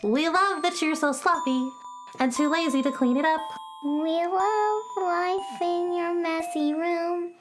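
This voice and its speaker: A tiny icky robot critter speaks very high and exclaims with great melodrama. It has a sing-song, mess-loving cheek suited to children's radio theater, with music behind it. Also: female voice, slow. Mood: pleading, sing-song. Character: small pink-eyed icky robot pests, dramatic, mess-loving.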